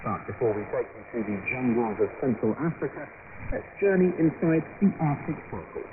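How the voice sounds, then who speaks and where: A man's voice: medium pitch, animated, a little fast. A man presenting a scripted radio magazine programme, received on a noisy narrowband shortwave broadcast. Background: loud shortwave static and noise.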